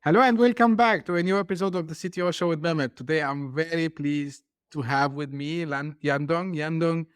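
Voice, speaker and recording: A male podcast host asks his guest questions in a friendly, rambling way on a close mic. His voice is smooth and resonant and sits a little high. The sound is dry, with no room echo. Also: a little fast, few pauses. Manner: friendly, filler-heavy questioning. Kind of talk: interview, casual chat.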